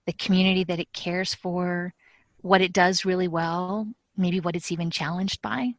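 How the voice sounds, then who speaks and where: A woman with a slightly low voice hosts an interview and asks long, measured questions. Her voice is recorded close and dry, with no room sound around it. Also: level tone, dry recording. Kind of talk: podcast interview.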